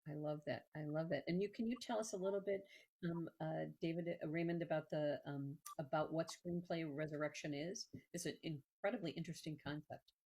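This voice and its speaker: Effusive woman host with a low voice. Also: a little fast, warm tone, slightly rough. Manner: effusive, hesitant, rambling. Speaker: show host. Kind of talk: conversational interview hosting.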